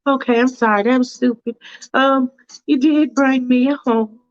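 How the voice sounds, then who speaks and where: A woman putting on a prissy, high-pitched sing-song lady. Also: fairly even intonation, unhurried, highs cut off. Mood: flustered. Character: fussy prim woman with a babyish way of talking.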